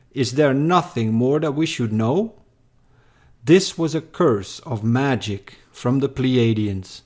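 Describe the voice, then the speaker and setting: A man reciting a scripted poem in a measured, deliberate way. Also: mid-range voice, a little mellow. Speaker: poem reader.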